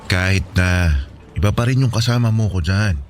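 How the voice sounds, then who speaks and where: A man's voice: low voice, moderate pace, close to an everyday voice. Actor as pleading homesick Filipino seaman, music behind. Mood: hurt, protesting. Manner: hesitant, pleading. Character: homesick young seaman husband, anxious and pleading. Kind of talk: radio drama dialogue. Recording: music bed under the voice.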